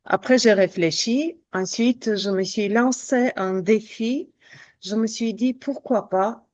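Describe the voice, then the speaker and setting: Slow-speaking Armenian-born woman translator. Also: mid-range voice, darkish tone. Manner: slow, careful, laughs lightly. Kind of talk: radio interview guest.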